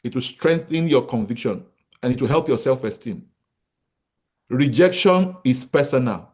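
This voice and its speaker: A man's voice: mid-range voice, expressive, normal speed, a little mellow. A Nigerian man in his forties, a company founder giving a motivational business talk to an audience, who repeats his key points and asks rhetorical questions. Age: 40s. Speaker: real estate company founder speaking to an audience.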